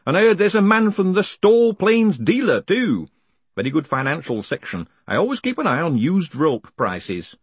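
A man's voice: fairly high pitch, very animated, moderate pace, slightly rough, dry recording, voice pushed up. A man voicing a chatty, cheerfully businesslike hangman who nags politely in chummy, colloquial speech, as in an audiobook.

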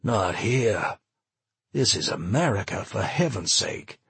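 A dismayed townsman, performed by a male narrator protests in weary disbelief in an audiobook. The voice is lowish and very husky, recorded dry and close. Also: sing-song, slow, a bit bright, no echo, heavily acted. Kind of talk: dramatized audiobook dialogue.

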